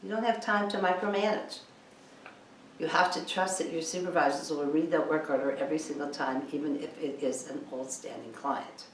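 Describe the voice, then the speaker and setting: A woman's voice: low-pitched, moderate pace. A woman giving an instructional training lecture in an emphatic, insistent way, often posing rhetorical questions. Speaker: cleaning business trainer. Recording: echoey room, distant mic, background noise.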